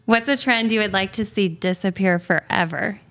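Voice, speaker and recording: A woman on the host's team asks rapid-fire questions in a brisk manner, as if reading them off a list. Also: medium pitch, fairly bright tone. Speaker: team member asking questions.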